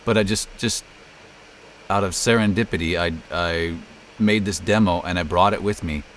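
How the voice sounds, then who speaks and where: A man's voice: sits low, normal speed, a bit bright, a little hiss or hum. A middle-aged American man chatting casually in an interview as a voice actor and narrator. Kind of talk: casual interview, telling career story.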